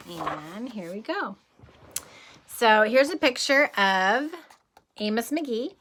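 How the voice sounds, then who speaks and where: A woman teacher reading a picture book aloud to young children, expressive, with casual asides and questions to the listeners. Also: mid-range voice. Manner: expressive, addresses children with questions and simple explanations.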